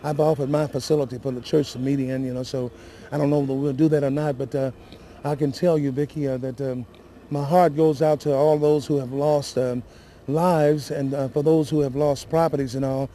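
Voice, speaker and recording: A shaken, weary man speaking haltingly and earnestly in a live news interview, often repeating himself. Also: mid-range voice, level tone, warm tone, a little hiss or hum. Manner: halting, repetitive, emotional and earnest. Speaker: city councilman giving interview.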